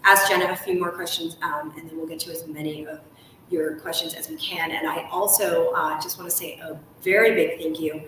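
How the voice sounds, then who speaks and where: A woman hosting a conversational book interview, effusive and discursive, pausing often as she builds long questions. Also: low-pitched, steady tone. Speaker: host and interviewer. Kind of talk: live on-stage style book interview, conversational. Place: large ornate hotel room, indoors. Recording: echoey room, distant mic, faint noise.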